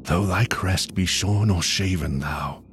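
A man's voice: low-pitched, slow pace, faint music behind. A man playing a grieving, haunted scholar in a theatrical, brooding gothic poetry recitation. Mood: commanding, awed. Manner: theatrical, brooding, dramatic delivery.

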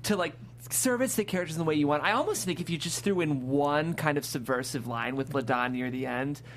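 A woman's voice: low voice, brisk. Encouraging woman panelist with faint hum behind. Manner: encouraging, tactful. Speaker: panelist on a script table-read show. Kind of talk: casual panel discussion. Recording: clear background noise with hum.

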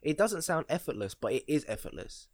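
Young man, lively pitch, casual argumentative banter, dry close sound. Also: a little fast, dry recording. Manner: animated, argumentative, slangy. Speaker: podcast co-host. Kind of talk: casual chat.

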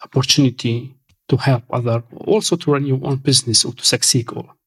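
A man's voice: mid-range voice, steady tone, brisk, a bit bright, slightly rough. Hesitant man with Syrian Kurdish accent. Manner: hesitant, many fillers and restarts. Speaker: guest. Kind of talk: casual interview.

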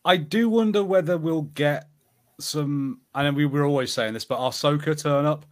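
A man's voice: fairly high pitch, moderate pace. A man in casual conversation as co-host of a podcast, speaking informally. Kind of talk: casual chat.

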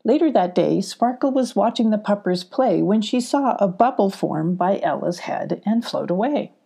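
A woman's voice: mid-range voice, animated, normal speed, gravelly, warm tone, husky. A woman narrating a scripted children's story as a storybook reader.

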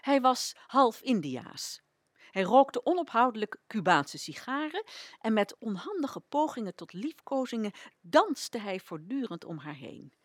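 A woman narrating a story for a radio play in an expressive, deliberate way. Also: on the high side, dry recording, clean studio sound.

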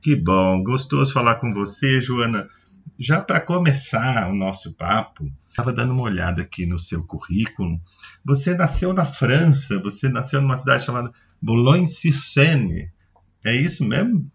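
A man's voice: medium pitch, lively intonation, moderate pace, creaky. A man hosting a radio interview, chatty and given to easy laughter. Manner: chatty, warm-toned banter with frequent laughs. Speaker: radio show host. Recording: muffled radio recording with faint music.

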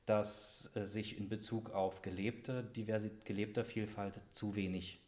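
Man, fairly low voice, interview guest, halting and deliberate, formally worded. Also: flat delivery, darkish tone. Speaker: interview guest, bookshop owner. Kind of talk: reflective interview talk.

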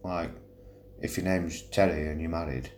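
A man's voice: sits low, fairly even intonation, normal speed, a little mellow. A man from Yorkshire with a regional accent, bantering casually and jokingly in colloquial speech as a podcast co-host. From Yorkshire, England.

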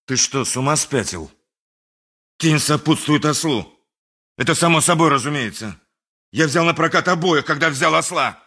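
A man's voice: a little high, expressive, moderate pace, long pauses, pushed and bright, slightly rough, exaggerated voice. An actor playing a haughty, exasperated town dentist who tells his tale dramatically in a radio play. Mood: indignant. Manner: dramatic, haughty, emphatic storytelling. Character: self-important, exasperated male town dentist, a traveller. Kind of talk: theatrical 1980s radio play narration and dialogue.

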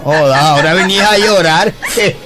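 A man's voice: high-pitched, moderate pace, heavy background noise, close to an everyday voice. An actor playing an angry village father in a radio comedy sketch, scolding and threatening. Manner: blustering, scolding, mocking.

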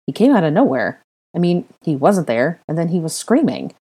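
A woman voicing a blunt, dumbstruck young man in an audiobook story, blurting his words haltingly. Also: deep voice, very animated, dark tone, husky, heavily acted. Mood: baffled. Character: blunt, dumbstruck young red-haired man, a sarcastic friend.

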